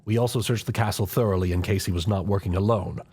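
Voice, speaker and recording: A man playing a loyal, scheming older royal chancellor in a fantasy audio drama, speaking in formal, measured, deferential courtly phrases. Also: fairly low pitch, steady tone, a little mellow, slight background noise, lightly acted. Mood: grave. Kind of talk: scripted fantasy audio roleplay acting.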